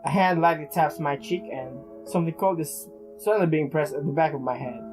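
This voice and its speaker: A man reading game narration aloud in a halting way, as a let's play host. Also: fairly high pitch, poor audio. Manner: halting, stumbles and repeats words while reading. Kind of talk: reading visual novel narration aloud with casual commentary. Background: music.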